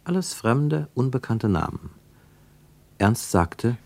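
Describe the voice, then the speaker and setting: A man narrating a literary radio drama as a reflective observer, deliberate and given to rhetorical questions. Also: mid-range voice, big pitch swings, gravelly, a little mellow, no room sound, full character voice. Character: male narrator of a literary travel report, probing and reflective.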